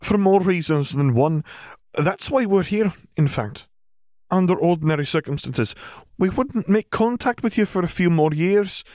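A stern older witch professor, played by a man in an audiobook story. The voice is lifted high and sounds close and dry. She speaks formally and gravely, in measured explanations. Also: a little mellow, dry recording, close to an everyday voice. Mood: matter-of-fact.

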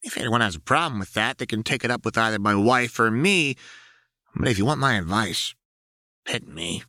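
A man's voice: lowish voice, expressive, moderate pace, pushed and bright, no echo, clean studio sound, voice pushed up. A man voicing a manic, gleefully violent young woman crime baron in an audiobook, with a brash, taunting delivery.

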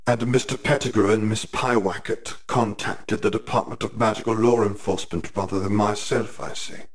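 A man voicing a cold, controlled masked headmaster who speaks with quiet menace. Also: lowish voice, fairly even intonation, normal speed.

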